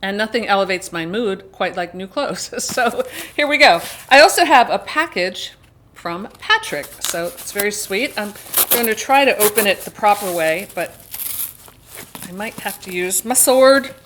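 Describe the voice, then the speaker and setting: Expressive, chatty woman vlogger. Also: medium pitch, a little slow, slight background noise. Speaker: book vlog host. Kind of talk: casual personal vlog chat, some reading aloud.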